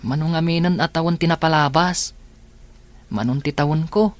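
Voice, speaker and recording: A woman playing a troubled teenage high school girl in a radio drama, talking in a soft, hesitant way. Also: moderate pace, lightly acted. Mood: earnest. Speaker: lead character. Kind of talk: Ilocano radio drama acting.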